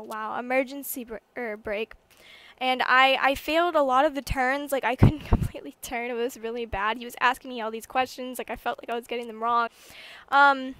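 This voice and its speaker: Young woman, high-pitched, bright voice, casual gaming storyteller, animated, rambling, sweary. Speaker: gaming YouTuber. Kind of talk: casual personal storytelling over gameplay.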